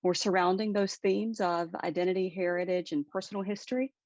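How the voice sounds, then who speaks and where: An American woman moderating a book discussion with deliberate, formal phrasing. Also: fairly low pitch, steady tone, darkish tone, dry recording, lo-fi sound.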